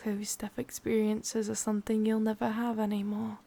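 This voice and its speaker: A woman voicing a sadistic, possessive witch lover in a breathy, husky whisper with an English accent, intimate and teasing, for an ASMR roleplay. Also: medium pitch, completely flat delivery, moderate pace, mellow. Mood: soothing, possessive.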